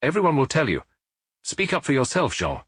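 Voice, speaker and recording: Man voicing an indignant wife, pitched up. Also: wildly swooping pitch, fairly quick, a bit bright, husky, no echo, studio quality, full character voice. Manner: protesting, insistent. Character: indignant, loyal wife defending her husband. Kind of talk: audiobook character reading.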